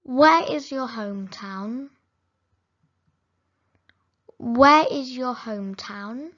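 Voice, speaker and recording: Slow, careful woman reading English phrases. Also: fairly high pitch, fairly bright tone, lo-fi sound. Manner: each sentence said slowly and clearly, then repeated. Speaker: English teacher.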